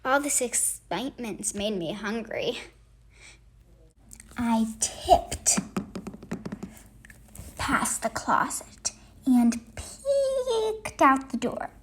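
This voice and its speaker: Very slow, animated woman reading a storybook. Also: on the high side, a little hiss or hum. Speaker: storybook reader. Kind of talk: expressive children's read-aloud narration.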